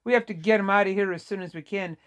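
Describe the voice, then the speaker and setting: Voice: mid-range voice, quick pace, fairly bright tone, pitched above the performer's own voice, lightly acted. A woman voicing a short, weary Vietnamese nurse in an audiobook, sorrowful, apologetic and plain-spoken, with a Vietnamese accent. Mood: regretful, strained. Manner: folksy, apologetic, emphatic. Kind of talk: audiobook character dialogue.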